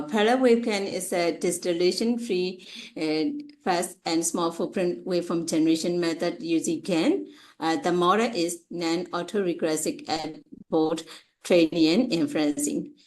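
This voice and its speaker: A woman with a Burmese accent giving an academic presentation in a halting, hesitant way with frequent uhs. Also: fairly low pitch. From Myanmar. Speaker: conference presenter, researcher.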